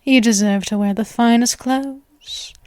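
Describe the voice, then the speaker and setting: Woman acting a slow-talking, lovestruck vampire girl. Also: a little high, mellow, dry recording, studio quality, natural voice. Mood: adoring, obsessive. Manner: gushing, theatrical, breathy asides, lovestruck. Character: obsessive, doting young female vampire, gleefully morbid. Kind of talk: ASMR roleplay, intimate monologue to listener.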